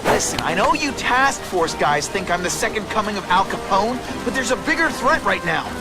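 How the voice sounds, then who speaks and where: High-voiced young wisecracking superhero. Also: male voice, very animated, normal speed, loud music behind the voice. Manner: quippy, sarcastic, earnest. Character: young male masked superhero vigilante, wisecracking, earnest protector. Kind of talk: video game voice acting.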